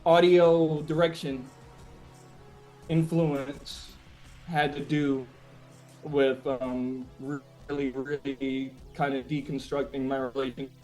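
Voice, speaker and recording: A man being interviewed as a musician, chatting casually and reflectively, often halting as he searches for words. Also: medium pitch, flat delivery, darkish tone, faint music behind, poor audio.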